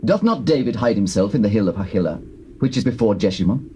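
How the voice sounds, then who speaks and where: An actor playing a sly informer in a dramatized scripture. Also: male voice, on the low side, expressive, quick pace, dark tone, natural voice. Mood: conspiratorial. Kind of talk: classical dramatized scripture acting. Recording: hissy old cassette tape.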